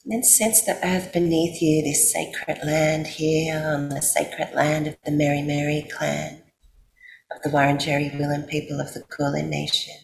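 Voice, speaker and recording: A woman with an Australian accent guides a sleep meditation in a soothing, unhurried way, leaving long pauses. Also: deep voice, little pitch movement, creaky. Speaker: yoga nidra guide. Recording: roomy echo, faint background noise.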